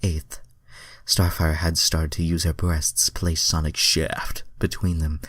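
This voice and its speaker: Very deep-voiced man reading fan fiction. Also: little pitch movement, a little slow, a bit bright, breathy edge, no room sound, clean studio sound. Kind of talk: dramatic reading with casual asides.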